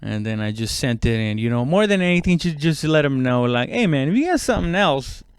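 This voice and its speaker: A man's voice: medium pitch, very animated, a little fast, smooth, no echo. A man giving a casual, rambling comedic monologue, self-deprecating and swearing freely. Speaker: comedian and podcast host.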